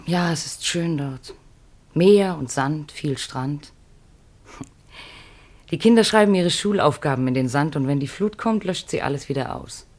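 An actress in a 1950s German radio play voicing an earnest, wistful middle-aged mother on the run, speaking in measured, pleading short phrases. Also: deep voice, animated, a little mellow, heavily acted. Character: Marianne, earnest, wistful fugitive mother of grown children.

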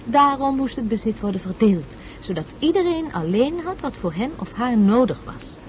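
Mellow, animated woman tutor, an actress's role. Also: on the high side, moderate pace, slight background noise, natural voice. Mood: instructive. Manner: didactic, storytelling to a child. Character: patient, explaining woman tutor of a young boy. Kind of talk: Dutch radio play acting.

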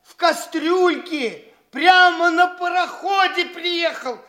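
A man's voice: very high-pitched, takes it slowly, bright, forceful tone, heavily acted. An actor playing a boastful, foppish young man in a theatrical stage comedy, gushing and stammering over his own boasts. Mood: tipsy grandiose bragging.

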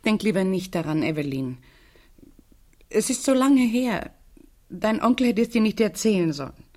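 A sorrowful, guarded widow, acted by a woman, with a soft, breathy voice at a middling pitch. She talks gently and hesitantly, with expressive rises and falls, in radio drama style. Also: normal speed, lightly acted. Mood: gentle, subdued. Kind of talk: German radio crime drama acting.

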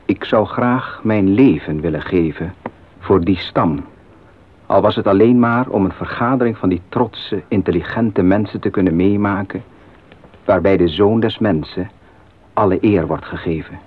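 Self-sacrificing missionary, earnest solemn monologue, low register, narrow radio sound. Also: male voice, moderate pace. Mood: earnest longing.

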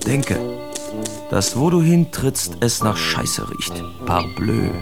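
A man's voice: on the low side, very animated, slow, vocal fry, over music, exaggerated voice. An actor playing a brash, cynical big-city painter declaiming his feverish letters in a dramatic radio reading. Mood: bitter, sardonic.

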